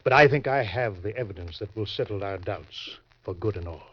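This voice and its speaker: A man's voice: on the low side, expressive, a little fast, breathy edge. An actor playing a suave, calculating older con man, formal and avuncular, in an old-time radio crime drama. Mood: unctuous, assured. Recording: muffled vintage radio recording.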